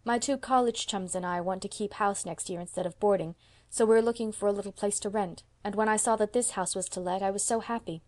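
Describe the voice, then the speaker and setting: A woman voicing a gentle, eager young woman college student. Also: medium pitch, a little fast, natural voice. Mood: earnest.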